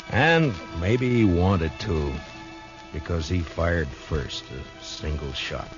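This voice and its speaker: A muffled vintage radio Western features a frontier marshal with a very deep voice. He is stern, weary and grave, using few, firm, plain words. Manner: terse, firm, plain-spoken. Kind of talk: 1950s radio Western acting. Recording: muffled old radio recording with music.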